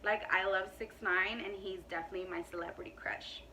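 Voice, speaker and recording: A woman's voice: mid-range voice, fairly even intonation, a little fast, sharp tone, distant mic, a little hiss or hum, low-quality recording. A woman in her twenties chatting casually to camera in a beauty Q&A, emphatic and full of "like" and "you know.". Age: 20s. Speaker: beauty vlogger.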